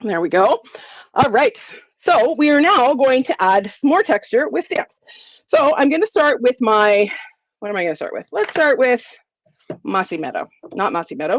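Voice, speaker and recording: A woman's voice: fairly high pitch, expressive, normal speed, dull audio. A woman in her forties, a little sniffly, giving a chatty live crafting tutorial from her home craft room and thinking aloud as she works. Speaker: crafting demonstrator.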